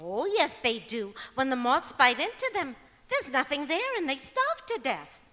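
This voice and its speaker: A chirpy, scatterbrained radio-comedy wife, acted by a woman, very high and bright. She swoops through her lines with earnest, daffy innocence, in an auditorium with long reverb. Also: sing-song, unhurried, heavily acted. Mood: innocently daffy. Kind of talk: old-time radio comedy acting on stage. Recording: echoey auditorium stage recording.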